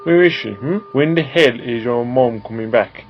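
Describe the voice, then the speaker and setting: A resentful family man acted by a man argues in a mid-pitched voice on a dull recording. He is sarcastic and accusing, as in an audio drama, with faint music behind. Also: normal speed, lightly acted. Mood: bitter. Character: bitter, angry husband and father.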